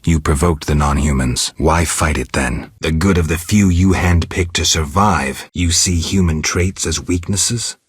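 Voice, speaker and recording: A man playing a stoic, weary monster hunter in a video game, terse and deadpan, with a raspy voice. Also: a little slow, hardly any pauses, no room sound, clean studio sound, heavily acted. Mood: stern, accusing. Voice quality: raspy, gravelly low voice. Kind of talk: video game character dialogue.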